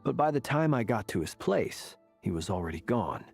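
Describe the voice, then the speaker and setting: A man playing a composed, cautious investigator in a video game, with a husky voice and measured, serious delivery. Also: medium pitch, big pitch swings, a little mellow, soft background music, exaggerated voice. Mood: grave. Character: composed, cautious male investigator, calm leader.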